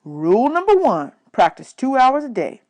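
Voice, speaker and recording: A woman's voice: high-pitched, very animated, moderate pace, darkish tone, voice pushed up. Woman reading as a sardonic, wisecracking bandsman. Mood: mocking. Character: sardonic, wisecracking male band musician.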